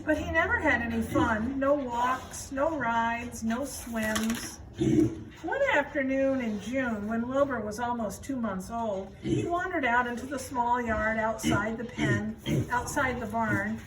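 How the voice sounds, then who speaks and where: A woman's voice: fairly high pitch, unhurried. A woman reading a children's story aloud as narrator. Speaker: storybook reader, school staff. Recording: noisy room, distant microphone.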